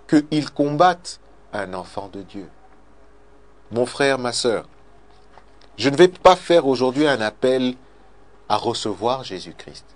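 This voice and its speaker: A man preaching a radio sermon and leading a prayer, fervent, repeating his phrases and swinging between loud and soft. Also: on the low side. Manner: fervent, repeats phrases, swells and drops in loudness.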